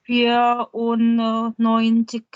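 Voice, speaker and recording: A woman student answering very slowly and haltingly, working through each part with pauses. Her voice is a bit high but flat, and sounds dull and lo-fi, close and dry. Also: clean tone, no echo. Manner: hesitant, tries numbers word by word.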